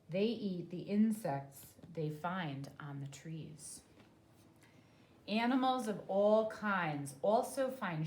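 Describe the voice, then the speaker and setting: A woman reading a children's nonfiction book aloud like a teacher, in a deliberate, clearly phrased manner. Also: on the low side, darkish tone.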